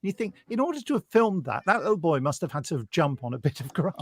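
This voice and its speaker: A British man co-hosting a podcast, recounting things in casual chat as an animated storyteller. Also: a little high, fast, breathy edge, no room sound. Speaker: podcast co-host.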